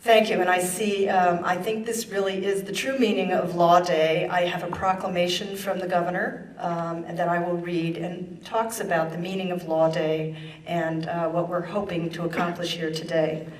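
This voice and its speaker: A woman speaking formally and in a measured way as an official at a ceremony. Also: lowish voice, little pitch movement, a little hiss or hum. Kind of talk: formal ceremony speech and proclamation reading. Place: large echoey indoor ceremony room. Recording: echoey room, distant microphone.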